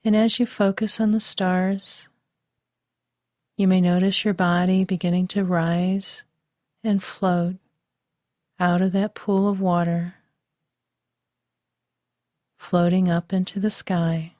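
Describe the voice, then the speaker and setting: A woman leads a bedtime relaxation meditation in a soft, soothing voice. She speaks very slowly in a flat, even tone with a mellow, slightly low voice. The recording is dry and close, like a studio voice-over. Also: no echo. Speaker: meditation guide.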